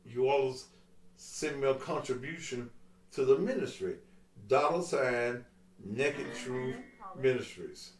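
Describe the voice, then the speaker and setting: A man's voice: mid-range voice, slow pace, echoey room, recorded from a distance, poor audio. A middle-aged man hosting a call-in talk show, taunting and mocking a caller in crude language. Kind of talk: heated call-in radio argument.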